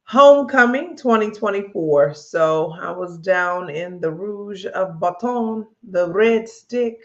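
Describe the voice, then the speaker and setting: A woman co-hosting a live talk stream with a slightly raspy voice, speaking in an easygoing, chatty way. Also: medium pitch, expressive, slow, muffled recording. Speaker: co-host. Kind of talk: casual live-stream chat.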